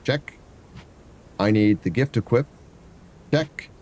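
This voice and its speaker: A man's voice: mid-range voice, slow, darkish tone. A man with a stuffy nose chatting casually and rambling while he walks through a game on a live stream. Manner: rambling, thinks aloud, hums to himself. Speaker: variety streamer. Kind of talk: casual gameplay commentary.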